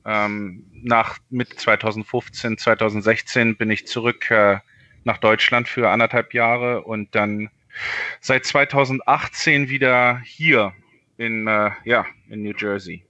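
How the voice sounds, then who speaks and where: A man's voice: fairly low pitch, pushed and bright, no echo, lo-fi sound. A man in his thirties from Berlin, a podcast guest on a video call, talking hesitantly with many fillers. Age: 30s. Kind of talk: casual interview.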